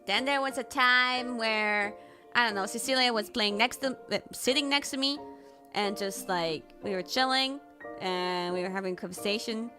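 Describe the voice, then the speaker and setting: A woman streamer telling stories in casual chat, animated, jumping to squealed quotes. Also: fairly high pitch. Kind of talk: casual chat, recapping a trip.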